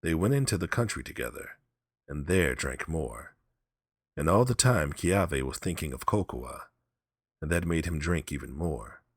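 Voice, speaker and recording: A man reads a story aloud in a low voice. His scripted narration is slow and measured, and the sound is dry and close. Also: lively intonation, no echo. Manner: measured, deliberate. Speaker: audiobook narrator.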